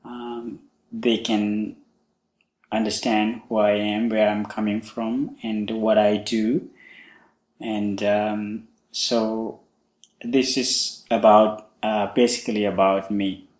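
A young man from Sri Lanka, a Buddhist monk hosting a podcast, talking slowly with many pauses and fillers about his life. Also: fairly low pitch, level tone, a little mellow, roomy sound. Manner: slow, halting, many fillers. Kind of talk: casual spoken monologue.

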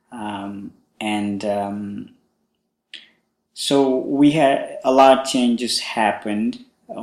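A young man from Sri Lanka, a Buddhist monk hosting a podcast, talking slowly with many pauses and fillers about his life. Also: fairly low pitch, darkish tone, echoey room. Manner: slow, halting, many fillers. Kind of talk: casual spoken monologue.

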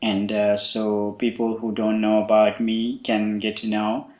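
A young man from Sri Lanka, a Buddhist monk hosting a podcast, talking slowly with many pauses and fillers about his life. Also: on the low side, completely flat delivery, darkish tone, reverberant. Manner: slow, halting, many fillers. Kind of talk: casual spoken monologue.

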